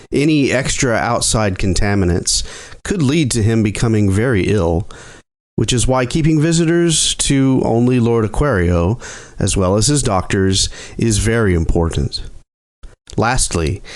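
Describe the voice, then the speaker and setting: An actor playing a grave, formal chief scientist and court doctor in an audio drama, speaking deliberately and ominously. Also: male voice, fairly low pitch. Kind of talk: scripted audio drama acting.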